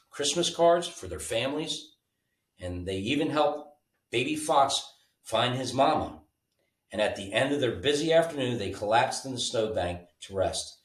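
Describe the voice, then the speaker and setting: A man reading a children's bedtime story aloud in an animated, informal way, like a storyteller with a picture book. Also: medium pitch. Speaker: storybook reader. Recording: roomy, mic at a distance.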